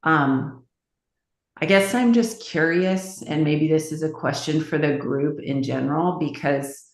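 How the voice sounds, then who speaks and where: Deep, gravelly woman in an echoey room. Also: unhurried. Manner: exploratory, thinking aloud. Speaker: peer group member without pastoral background. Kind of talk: group discussion.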